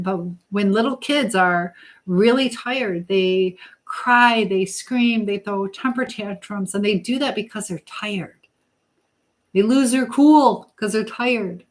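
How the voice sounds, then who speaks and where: Female livestream host, middle-aged, mid-pitched voice, informal and motherly, speaking straight to her viewers. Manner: motherly, advising, addresses viewers directly. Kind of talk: casual live chat, answering viewers' comments.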